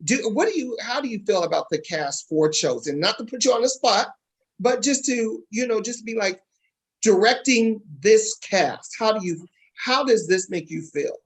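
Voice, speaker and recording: A talk show host with a husky voice, addressing guests and viewers in an emphatic, encouraging way that repeats phrases for stress. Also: medium pitch, lively intonation, moderate pace.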